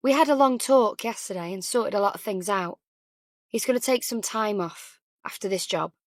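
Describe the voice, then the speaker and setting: A woman's voice: medium pitch, normal speed, no echo, studio quality, natural voice. A woman as a weary, guarded new mum. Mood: calm. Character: tired, guarded new mother, adult daughter, emotionally frayed. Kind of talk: audiobook character dialogue.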